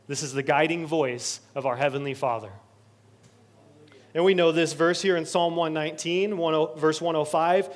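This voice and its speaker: Male pastor, higher-pitched, preaching with earnest building repetition. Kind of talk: sermon. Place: church sanctuary.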